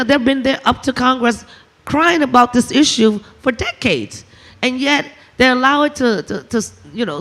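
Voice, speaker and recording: A man from Vietnam speaking on a panel as a shrimper, halting and repeating words as he builds his point. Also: very high-pitched, a bit bright. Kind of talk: impassioned panel talk.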